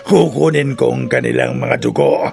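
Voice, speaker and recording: A man playing a gleeful, evil mad doctor in a horror radio drama, with a gravelly voice and slow, menacing, cackling delivery. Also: fairly high pitch, full character voice.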